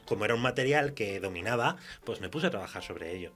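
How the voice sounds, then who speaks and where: A man with an accent from Spain speaking as a guest author in a conversational radio interview, halting as he thinks aloud. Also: lowish voice, animated, a bit bright, soft background music.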